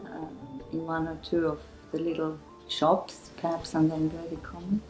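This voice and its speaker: A woman's voice: low-pitched, completely flat delivery, moderate pace, darkish tone, roomy sound, faint music behind, rough recording. An older woman recounting her time as a church volunteer, hesitant and full of fillers. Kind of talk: interview testimony.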